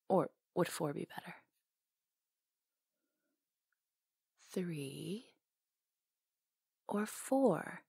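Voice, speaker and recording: A woman playing a flirty, tender salon owner with a crush, speaking softly and intimately in an ASMR romantic roleplay, teasing, pausing and sometimes near a whisper. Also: fairly low pitch, no room sound, clean studio sound, exaggerated voice. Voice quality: soft, breathy, sometimes whispery. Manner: teasing, hesitant pauses, intimate.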